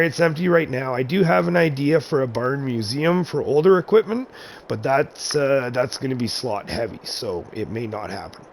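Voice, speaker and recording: A man with a gravelly, mid-pitched voice walks through his game farm in a relaxed, rambling way. He often corrects himself mid-sentence and sounds a little raspy from chest congestion. A faint hiss sits under his voice. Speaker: gaming channel host. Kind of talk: casual game map tour commentary.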